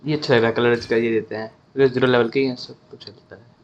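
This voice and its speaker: A man's voice: mid-range voice, level tone, rapid-fire, lo-fi sound. A man with an Indian accent giving casual gameplay commentary as a gaming host.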